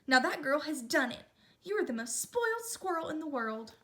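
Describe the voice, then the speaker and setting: A woman's voice: high voice, sing-song, moderate pace, bright, forceful tone, slight background noise, exaggerated voice. A woman voicing a stern, exasperated mother squirrel who fusses and scolds in a storybook. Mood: stern scolding. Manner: stern, fussing.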